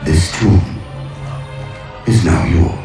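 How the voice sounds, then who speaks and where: A man playing an ancient, imperious alien warlord in a video game, vengeful and grandiose, with a deep gravelly voice. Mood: menacing, triumphant. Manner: slow, grandiose, theatrical pronouncements. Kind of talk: video game villain monologue. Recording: cavernous echo, distant, music behind.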